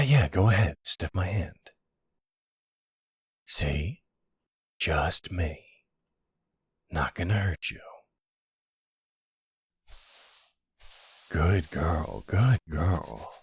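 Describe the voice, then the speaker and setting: A man playing a gentle, worried boyfriend in an intimate roleplay audio drama, soothing and reassuring with a hesitant delivery. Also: no echo, clean studio sound, heavily acted. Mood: soothing, playful. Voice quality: low, husky voice.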